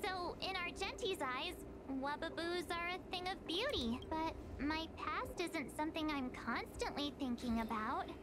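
A woman voicing a perky, girlish young adventurer in an anime-style video game, expressive and youthful. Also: very high-pitched, unhurried, few pauses, noisy background. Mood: perky, curious.

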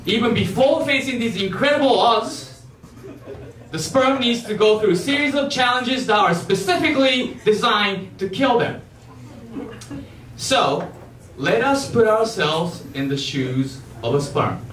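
A young man from Japan performing amateur stand-up comedy on a theater stage with a handheld mic, in an emphatic, halting way that repeats phrases. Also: high-pitched, expressive, fairly bright tone, far from the mic. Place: theater stage before a live audience. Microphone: handheld wired microphone. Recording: echoey stage mic, heard from afar.

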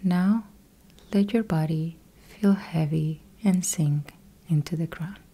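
A woman leads a guided meditation in a mellow, breathy voice with a husky edge. She speaks very slowly and gently, in calm, measured phrases with long pauses between them. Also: fairly low pitch. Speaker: yoga nidra meditation guide. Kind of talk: guided meditation, slow instructional.

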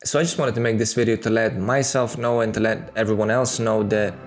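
A man's voice: on the low side, steady tone, brisk, faint music behind. A man in his thirties with a Serbian accent, talking candidly to camera in a casual home video, close to a studio mic. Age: 30s. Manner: candid and conversational, with many fillers. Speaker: YouTuber. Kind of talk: casual talking-head monologue. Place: small room at home, indoors. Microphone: studio mic on boom arm, close.

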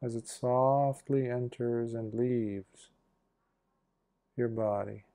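Man, guided meditation teacher, very unhurried, soft dark tone, gentle phrasing, long pauses. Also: mid-range voice, steady tone. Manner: gentle, hushed instruction with long pauses between short phrases. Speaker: Buddhist monk leading guided meditation.

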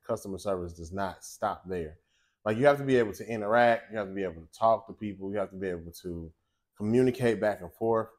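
A man in his forties hosting a casual home cooking show from his kitchen, talking straight to viewers in an animated, chatty way. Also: low voice. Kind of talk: casual cooking chat and monologue.

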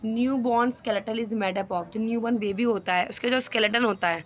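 A woman tutoring for a nursing exam, reading each question slowly and then explaining the answer in mixed Hindi and English. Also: fairly high pitch, slight background noise. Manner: mixes Hindi and English, repeats "ठीक है". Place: voice-over on screen recording.

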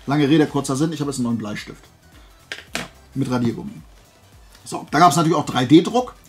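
A man's voice: mid-range voice, fairly quick, faint music behind. A man chatting casually to viewers like a vlogger, in a rambling, digressive and self-deprecating way. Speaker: YouTube vlogger showing flea market and fair finds.

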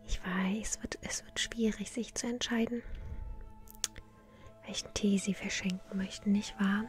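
Casting: woman, 30s, whispered ASMR shopkeeper, gentle and polite, close-miked over soft music. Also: mid-range voice, steady tone. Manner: gentle, unhurried, polite customer service. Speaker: ASMR creator playing a tea shop owner. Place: small indoor room. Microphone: close to the microphone. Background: relaxing music.

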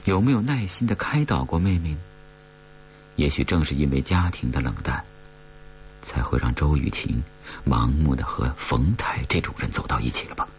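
A man's voice: extremely low pitch, animated, normal speed. A man reading a scripted horror story as an audiobook narrator, in a dramatic storytelling manner. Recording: dull recording with mains hum.

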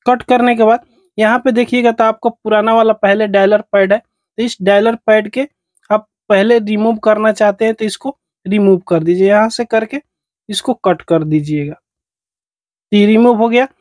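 A man's voice: sits high, steady tone, normal speed, a little mellow, no echo, clean studio sound. A man narrates a step-by-step phone tutorial as its host, in repetitive, instructional phrasing.

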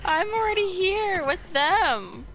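A woman's voice: very high voice, expressive, slow pace, fairly bright tone, rough recording. A woman chatting casually as a teammate in an online game, sweary and teasing. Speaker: co-op gaming teammate. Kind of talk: casual gaming chat. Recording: game music behind the voice. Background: video game music.

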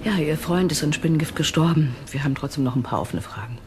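Low-voiced female detective, played by an actress. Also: fast talker, a little mellow, soft background music, lightly acted. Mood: calm, probing. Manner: composed, pointed questioning. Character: female police detective, composed and direct. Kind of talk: German crime radio play acting.

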